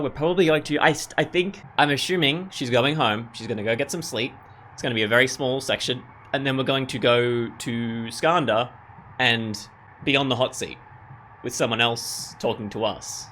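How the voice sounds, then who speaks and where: A man's voice: mid-range voice, fairly bright tone. A man giving casual let's play commentary as a gaming video host, musing and thinking aloud as he goes. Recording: clear background noise and ambience.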